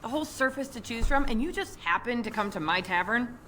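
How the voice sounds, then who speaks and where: An actress playing a surly, sharp-tongued tavern woman in a fantasy video game, needling with sarcasm. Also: a little high, animated, a little fast, crowd noise, natural voice. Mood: scornful. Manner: sardonic, needling.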